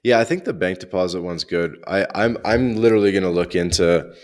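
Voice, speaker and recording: A man's voice: low-pitched, lively intonation, fairly quick. A man hosting a podcast interview, chatty and enthusiastic, with lots of "uh" and "like". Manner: enthusiastic, chatty, hesitant fillers like "uh" and "like". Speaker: podcast host. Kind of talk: casual interview chat.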